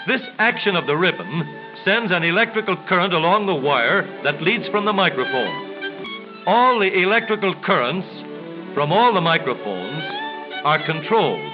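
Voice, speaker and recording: High-voiced man narrating a vintage educational film. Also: sing-song, over music. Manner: formal, measured explaining. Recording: dull vintage 1930s film soundtrack.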